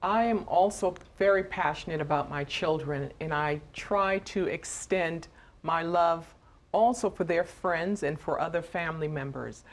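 A woman in her forties, from Trinidad and Tobago, speaks calmly to the camera as a missing persons advocate. Her voice is low-set and her delivery measured. Recorded with a lapel mic on a studio stage. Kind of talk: direct-to-camera personal talk.

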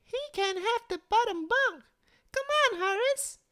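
A man voicing a bossy, excitable little girl in a children's storybook. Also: extremely high pitch, unhurried, voice pushed up, exaggerated voice. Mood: excited, indignant. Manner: exclaiming, bossy.

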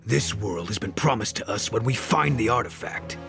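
Stern, gravelly masked villain. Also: male voice, fairly low pitch, expressive, moderate pace, music in the background. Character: stern, calculating masked villain man posing as hero.

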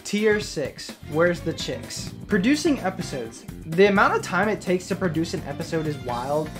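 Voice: mid-range voice, very animated, moderate pace, over music. A narrator reading a scripted list-style video commentary.

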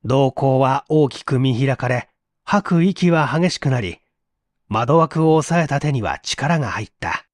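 A man reading a literary mystery story aloud in an expressive, theatrical storytelling manner. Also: medium pitch, measured pace, no echo. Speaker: reader of audio stories.

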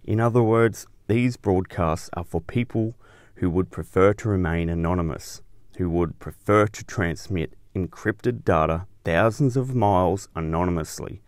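A man's voice: low-pitched, measured pace, no echo, clean studio sound. A man hosting and narrating a scripted explainer show.

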